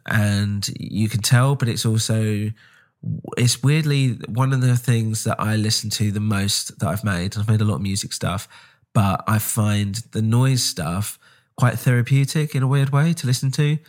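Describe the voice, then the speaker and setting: A man with a slightly low voice talks through a solo podcast update in a loose, rambling way. He sounds nasal from a cold, and he stumbles over restarts and fillers. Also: unhurried, a little mellow. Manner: rambling, frequent ums and restarts. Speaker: podcast host.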